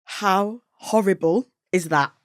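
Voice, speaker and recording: A woman's voice: medium pitch, takes it slowly, dry recording, studio quality. Young Yorkshire woman telling a tale. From Yorkshire, England. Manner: animated, laughs and gasps often. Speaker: podcast guest, producer. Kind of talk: casual chat, storytelling. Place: podcast studio. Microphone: studio microphone.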